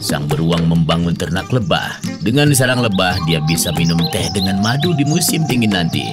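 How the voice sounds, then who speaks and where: A man narrating a scripted children's story, with loud music behind him. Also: sits low, sing-song, moderate pace.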